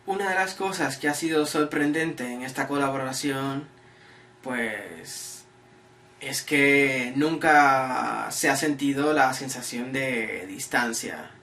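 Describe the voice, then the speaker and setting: A somewhat distant recording with faint noise carries a man. His voice is bright and a bit creaky, and he answers interview questions reflectively, pausing mid-phrase. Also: medium pitch. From Spain. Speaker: artist, animator. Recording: distant mic, noisy room ambience.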